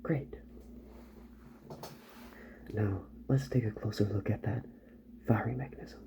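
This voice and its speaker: A man playing a calm, attentive robot repair doctor in a soft-spoken ASMR roleplay, hushed and unhurried, often slipping into a whisper. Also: lowish voice, gravelly. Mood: musing, pondering. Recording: dull sound, loud background noise.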